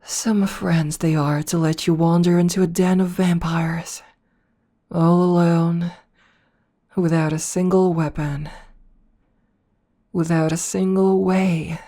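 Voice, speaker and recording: A woman voicing a playful, predatory noble vampire princess in an ASMR roleplay, speaking softly up close and slipping into whispers. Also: on the low side. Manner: soft, slow and toying, slipping into whispers.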